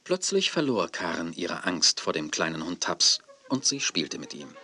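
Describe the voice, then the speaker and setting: An actor narrating a children's audio drama as a storyteller, in scripted style. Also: male voice, low voice, big pitch swings, normal speed, bright, forceful tone, slightly rough, soft background music, full character voice. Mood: storytelling. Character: storyteller of a gentle children's tale.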